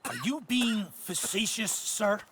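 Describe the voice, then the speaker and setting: An actor playing a pompous, blustering army colonel in a video game, halting and condescending. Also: male voice, high-pitched, background noise. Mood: affronted. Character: pompous, blustering army colonel, short-tempered older officer. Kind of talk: dramatic video game acting.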